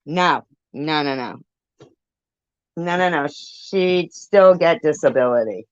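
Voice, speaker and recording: Low, unhurried, blunt woman. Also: animated, no room sound, dull audio. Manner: blunt, contradicting, repetitive, swearing. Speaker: live stream commentator. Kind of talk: off-the-cuff live stream commentary on played audio. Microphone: phone on a live stream.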